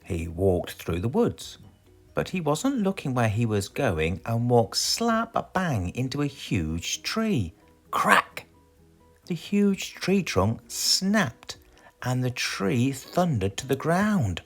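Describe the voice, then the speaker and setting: Very animated British man reading a children's story. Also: medium pitch, slow pace, soft background music. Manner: dramatic, addresses young listeners with questions. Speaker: storybook reader.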